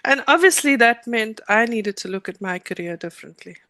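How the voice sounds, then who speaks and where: A woman's voice: a little high, expressive, a little fast, sharp tone, no echo, studio quality. A middle-aged woman with a South African accent, telling her own story conversationally as an interview guest.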